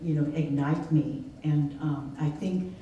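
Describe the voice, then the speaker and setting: A woman's voice: deep voice, measured pace, dark tone, highs cut off. A woman keynote speaker answering an audience question in a reflective, conversational way. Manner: reflective, conversational, storytelling with laughs. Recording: echoey hall from far off, faint noise.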